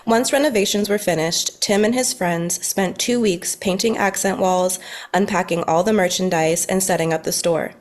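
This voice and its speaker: A woman's voice: on the low side, moderate pace. A woman reading stage directions as the narrator of a scripted table read.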